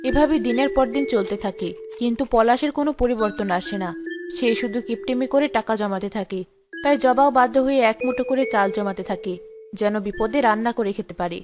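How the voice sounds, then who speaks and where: A woman's voice: a little high, a little fast. Woman narrating a story over music. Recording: dull lo-fi audio with music behind.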